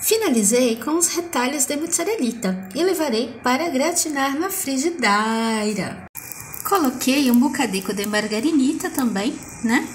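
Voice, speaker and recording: A woman's voice: high voice, lively intonation, normal speed, slight background noise. A middle-aged woman from Brazil chatting playfully to her phone camera in a home kitchen, narrating her food and coining cute diminutive words. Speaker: vlogger. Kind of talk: casual food vlog narration.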